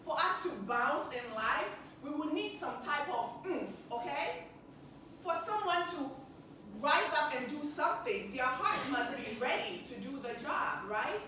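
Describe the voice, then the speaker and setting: A woman's voice: sits high, lively intonation, a little slow, pushed and bright. A woman with a Ghanaian accent leading an interactive stress-relief workshop, cheerful and chatty, laughing often and asking the audience questions. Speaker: workshop speaker. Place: meeting room with a small live audience. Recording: echoey room, mic far off, background noise.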